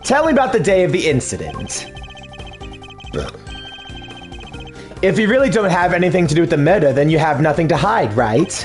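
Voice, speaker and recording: A man voicing a composed, formal male prosecutor who questions a suspect in precise, measured phrases. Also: on the high side, sing-song, faint music behind. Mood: cold. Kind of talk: fan dub of a video game.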